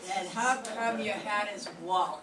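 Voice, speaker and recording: An older woman playing a gruff, sarcastic male police sergeant in an amateur radio drama reading. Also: medium pitch, a bit bright, lightly acted. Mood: brusque. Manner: brisk orders, dry sarcasm. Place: classroom. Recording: echoey room, far from mic, noise.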